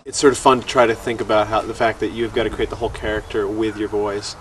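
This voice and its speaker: A man's voice: fairly low pitch, little pitch movement, quick pace, fairly bright tone. A man talking off the cuff in a documentary interview, thoughtful and earnest. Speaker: voice actor. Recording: noisy ambience, distant microphone.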